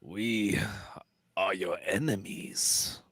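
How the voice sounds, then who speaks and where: A man voicing a menacing dream workman in a fan radio play, with a rasping, strained voice. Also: sits low, fairly even intonation, extremely slow, a bit bright, dry recording, full character voice. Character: menacing dream workmen, hostile creatures hissing threats.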